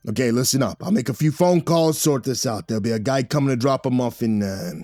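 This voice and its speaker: A man voicing a gruff, crude, irritable mob boss, in an audiobook. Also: medium pitch, fairly quick, darkish tone, no echo, clean studio sound, soft background music, close to an everyday voice. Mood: gruff, commanding. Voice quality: slurred, growling. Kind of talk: audiobook character voice.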